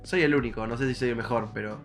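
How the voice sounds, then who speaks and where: A man's voice: medium pitch, fast talker, soft background music. A young man with an Argentine accent chatting casually as a podcast host.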